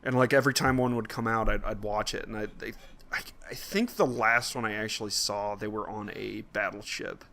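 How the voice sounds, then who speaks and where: A man's voice: on the low side, fairly even intonation, brisk, gravelly, pushed and bright. A man co-hosting a casual podcast, conversational and thinking aloud as he goes. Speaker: podcast co-host.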